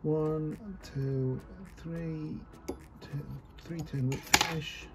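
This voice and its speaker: A man's voice: a little high, steady tone, very slow, over loud music. A man giving a casual fly tying tutorial at his desk, chatty and self-deprecating, thinking aloud as he works. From North East England.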